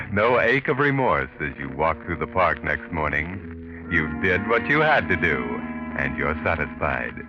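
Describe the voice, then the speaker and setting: An actor playing an ominous, taunting narrator of a 1940s radio mystery. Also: male voice, deep voice. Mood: sardonic. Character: ominous, taunting omniscient narrator addressing the killer. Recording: muffled 1940s radio recording.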